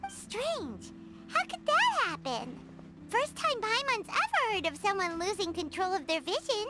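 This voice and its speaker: A woman's voice: extremely high pitch, sing-song, unhurried, very noisy, exaggerated voice. A woman voicing a small, childlike fairy companion in a video game, inquisitive and exclamatory. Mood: puzzled. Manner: speaks of herself in third person, exclamatory. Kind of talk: animated game dialogue. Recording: background music under the voice.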